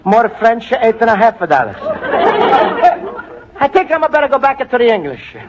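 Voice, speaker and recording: An actor playing an earnest, broke Italian immigrant man on a date, speaking halting broken English with an Italian accent in 1940s radio comedy style. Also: very high voice, very animated, over a noisy crowd. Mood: earnest, flustered. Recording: dull old radio recording with faint noise.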